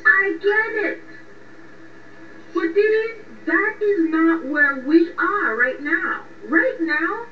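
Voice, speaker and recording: A woman's voice: very high voice, full character voice. A woman playing a lovesick, vulnerable young woman in a radio drama, hesitant, defensive and pleading. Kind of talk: radio drama phone conversation. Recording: muffled, echoey, distant, faint noise.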